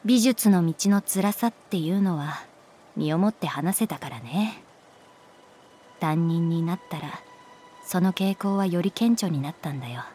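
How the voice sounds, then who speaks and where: A calm adult art teacher in an intimate audio drama. Her voice is on the low side and very unhurried, soft and wistful, with a resigned, protective tenderness. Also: slight background noise. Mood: wistful, confessional. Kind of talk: Japanese audio drama acting, intimate dialogue.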